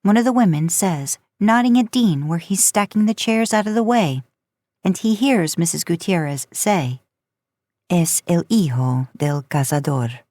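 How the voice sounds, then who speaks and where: A woman's voice: mid-range voice, animated, normal speed, darkish tone, dry recording. A woman reading a story aloud in audiobook-style narration. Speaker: podcast host and fan fiction narrator.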